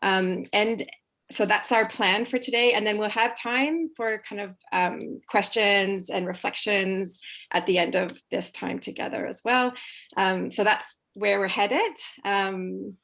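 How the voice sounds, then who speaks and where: A woman moderating an online panel over a video call. Her voice sits at a middle pitch with a lot of vocal fry. She speaks hesitantly and pauses often on ums as she introduces everyone. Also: fairly even intonation, fairly bright tone.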